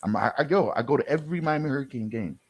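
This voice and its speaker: A man's voice: mid-range voice, expressive, racing. A man in a casual chat, reacting to a video in a bemused way and often repeating himself. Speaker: co-host or guest commenter.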